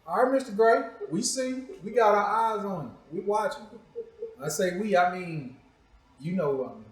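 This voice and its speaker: Echoey, higher-voiced man hosting casually. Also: animated, normal speed. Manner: informal, playful, teasing, repeats himself. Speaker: talk show host. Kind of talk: casual interview chat, profane joking. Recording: very echoey room, distant mic.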